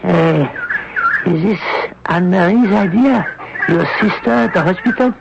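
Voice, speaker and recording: Wary, gravelly French farmer. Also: male voice, high-pitched, measured pace. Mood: kindly, deciding. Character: wary, kindly middle-aged French farmer, father of soldiers. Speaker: radio drama actor. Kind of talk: radio mystery drama acting. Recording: muffled, noisy old radio recording.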